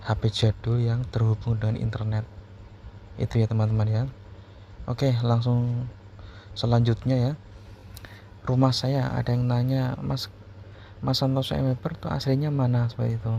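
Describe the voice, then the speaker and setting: Creaky, low-key man with Central Javanese accent. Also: flat delivery, normal speed, long pauses, warm tone. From Purwodadi Grobogan, Central Java, Indonesia. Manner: repeats himself, checks in with listeners. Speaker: YouTuber answering viewers' questions. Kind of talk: casual explanatory chat to viewers. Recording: muffled, lo-fi, faint background noise.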